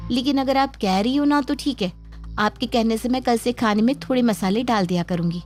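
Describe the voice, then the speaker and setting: Cartoon newlywed, done by a female narrator: higher-pitched, breezy, smug health-food know-it-all, music behind. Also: brisk, darkish tone, lightly acted. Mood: grudging. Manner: airy, self-assured, condescending. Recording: background music under the voice.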